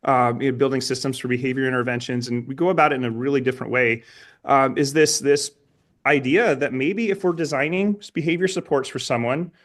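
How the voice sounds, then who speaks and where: Man, interviewer. Average pitch, informal and conversational, hesitant and roundabout in getting to the point. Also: fairly even intonation, fairly quick. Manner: hesitant, roundabout, tentative phrasing. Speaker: interviewer, educator.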